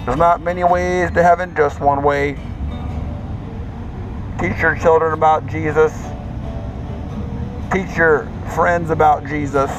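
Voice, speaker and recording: A man preaching outdoors on a city sidewalk at night, exhorting passersby in a steady, repetitive cadence. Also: a little high, slow, pauses often, music in the background, low-quality recording. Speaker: street preacher. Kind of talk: open-air street preaching. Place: city sidewalk at night outside a theme park.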